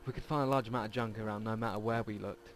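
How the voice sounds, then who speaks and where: A man's voice: fairly low pitch, steady tone, very fast. Man reading aloud over music. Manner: stumbles and repeats words while reading. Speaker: let's play host. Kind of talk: reading visual novel text aloud. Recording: game music behind the voice. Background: game music.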